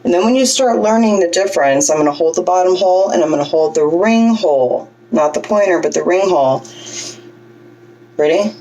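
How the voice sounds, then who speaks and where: Low-voiced woman coaching breath, a little distant. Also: animated, fairly quick, dark tone, lo-fi sound. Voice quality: low singing voice. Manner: chatty, self-deprecating, coaching with frequent "okay?" checks. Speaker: vlogger teaching breathing. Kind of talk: casual instructional vlog.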